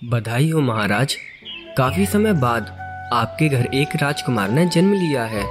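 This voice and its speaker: A man's voice: medium pitch, very animated, measured pace, faint music behind. A man playing an earnest, deferential royal minister in a cartoon moral story, with formal address.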